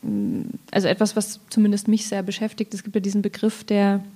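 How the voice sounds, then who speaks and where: A woman's voice: mid-range voice, big pitch swings, fairly quick, warm tone. A woman speaking as a poet in an informal literary conversation, thinking aloud in a reflective way. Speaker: poet in discussion.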